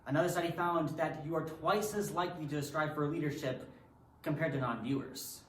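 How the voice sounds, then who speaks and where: A man's voice: on the high side, a little fast, close to an everyday voice. A man performing in a readers theatre piece with rehearsed, theatrical delivery. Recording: echoey room, distant from microphone.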